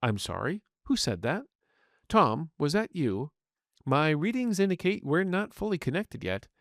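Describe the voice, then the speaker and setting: A man's voice: a little high, very animated, a little slow, dry recording, clean studio sound. A man voicing a polite, earnest artificial intelligence medical assistant in an audio story, speaking formally and courteously. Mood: puzzled, polite. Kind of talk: scripted audiobook narration in character.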